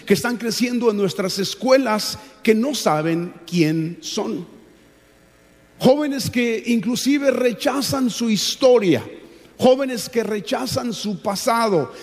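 A man's voice: sits high, a little mellow. A middle-aged man with a Mexican accent preaching a sermon, teaching emphatically with repetition and rhetorical questions. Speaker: pastor preaching. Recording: reverberant large hall.

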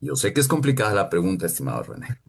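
A male interviewer with a very low, husky voice. He asks long, winding questions that circle around with fillers before he gets to the point. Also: wildly swooping pitch, quick pace. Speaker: interviewer, host.

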